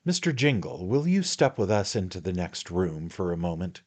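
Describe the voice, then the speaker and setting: A man's voice: deep voice, big pitch swings, normal speed, a little mellow. A man putting on a fussy, wheedling little attorney. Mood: coaxing. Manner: ingratiating, wheedling, repeats "my dear sir".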